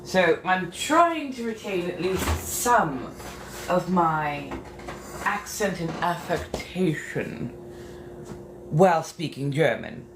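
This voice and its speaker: Slow, flirtatious woman streaming from a bathroom. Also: fairly low pitch, expressive. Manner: flirtatious and theatrical, calls viewers darling. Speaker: livestream host. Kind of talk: casual livestream chat while getting ready. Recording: clear background noise.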